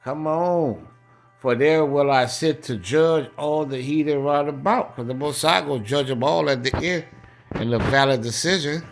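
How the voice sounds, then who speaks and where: A man's voice: a little high, moderate pace, soft background music. An American man preaching in a colloquial, emphatic and repetitive way, reading scripture aloud and adding his own running commentary. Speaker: street-style Bible preacher.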